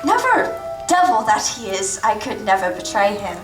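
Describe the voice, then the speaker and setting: A woman's voice: medium pitch, very animated, moderate pace, over music, lightly acted. A woman playing a brave, desperate young woman from a thieves' gang in a staged radio play, urgent and secretive. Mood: defiant, anxious. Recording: echoey stage recording from far off.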